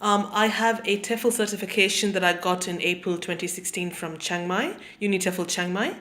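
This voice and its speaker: A woman, introducing herself as a teacher in a measured way, often pausing on "um" and listing things. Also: mid-range voice, a bit bright. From Australia. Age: 30s. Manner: measured, with frequent ums and listing. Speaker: English teacher. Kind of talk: self-introduction to camera.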